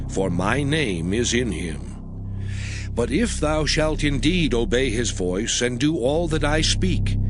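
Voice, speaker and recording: An actor playing a stern, authoritative lawgiver who declaims commands deliberately in a dramatized scripture reading. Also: male voice, medium pitch. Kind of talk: dramatized audio Bible reading. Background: music.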